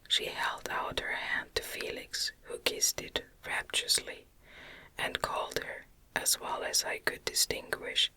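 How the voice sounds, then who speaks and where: Female reader, ASMR whisper, very bright and sibilant, gentle, deliberate, literary narration. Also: low-quality recording. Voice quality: breathy unvoiced whisper. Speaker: audiobook reader. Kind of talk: ASMR whispered novel reading.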